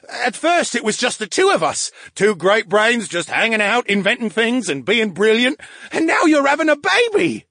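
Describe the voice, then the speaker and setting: Guy doing a high squeaky brain-in-a-jar dude, super chatty and dramatic, voice jumping all over, dry close sound. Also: fairly bright tone, dry recording, heavily acted. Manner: talkative, dramatic, whiny. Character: chatty excitable male brain in a tank. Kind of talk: audiobook character voice.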